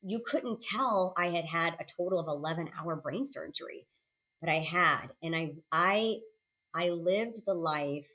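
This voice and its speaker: Low-voiced woman in her late forties. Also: a bit bright, dull audio. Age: late 40s. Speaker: podcast guest, senior healthcare leader. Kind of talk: conversational interview, personal storytelling.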